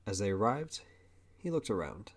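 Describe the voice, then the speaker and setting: A man's voice: on the low side, sing-song, normal speed, slightly rough. A man reading scripted story narration as the narrator of an audio drama.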